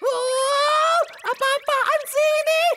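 Woman as a very high, exasperated little parrot boy. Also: steady tone, very slow, exaggerated voice. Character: small, easily exasperated young boy parrot. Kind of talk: animated cartoon dub acting.

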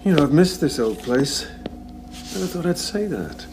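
An actor playing a wry, reflective time-travelling hero in an audio drama, speaking conversationally and articulately. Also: male voice, fairly high pitch, very animated, moderate pace, noisy background. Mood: wistful.

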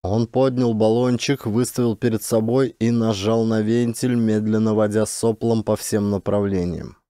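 Guy narrating a sci-fi audiobook, low soft-toned voice, suspenseful and dramatic. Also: steady tone, moderate pace, clean tone, no echo, studio quality. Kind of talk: dramatic scripted story narration.

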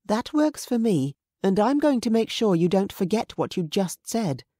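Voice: medium pitch, big pitch swings, normal speed, warm tone, no room sound, studio quality, pitched above the performer's own voice. A narrator doing a playful, teasing young woman.

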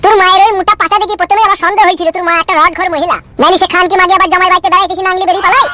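A high-voiced performer, heard as a woman, voicing an angry, foul-mouthed son-in-law in a comic cartoon. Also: fairly even intonation, racing, background noise. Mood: angry, ranting.

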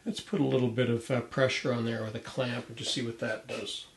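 A man's voice: medium pitch, flat delivery, a little fast, gravelly. A middle-aged man giving informal hands-on woodworking commentary, thinking aloud in a hesitant way as he works. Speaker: woodworking video host. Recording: roomy workshop sound, light background noise.

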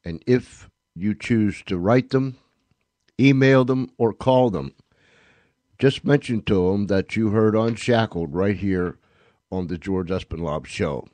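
A male radio host with a very low voice speaks slowly and deliberately. He delivers sign-off announcements in short phrases set apart by pauses. The sound is close and dry. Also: a little mellow, no echo.

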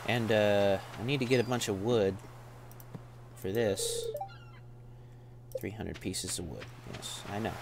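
A man's voice: lowish voice, fairly even intonation, slow, vocal fry, pauses often, noisy background. A man casually commenting while playing a farming game, laid-back and rambling, often calling people "man". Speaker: gameplay streamer. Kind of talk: casual let's-play commentary.

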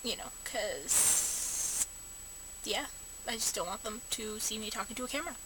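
A young woman rambling and joking about herself in a casual vlog, recorded from a parked car on a camera held low at arm's length. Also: a little slow. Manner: chatty, self-mocking, many fillers. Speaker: vlogger. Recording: noisy home camera recording.

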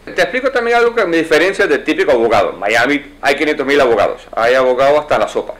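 A man's voice: mid-range voice, animated, fast, fairly bright tone, poor audio. A man in his forties, a lawyer, giving fluent, explanatory answers in a seated interview in an office. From United States. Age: 40s. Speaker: lawyer and judicial candidate. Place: wood-paneled office, indoors.

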